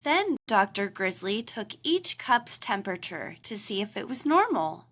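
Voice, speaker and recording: Animated, bright-voiced woman reading a storybook. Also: mid-range voice, unhurried. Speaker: storybook reader. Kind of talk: expressive children's read-aloud narration.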